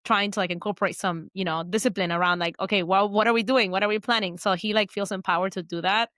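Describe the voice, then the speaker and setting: A woman's voice: medium pitch, fairly even intonation, fast, a bit bright, dry recording. Woman podcast co-host, chatty and rambling, dry close recording. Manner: chatty, many fillers and restarts. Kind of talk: casual chat.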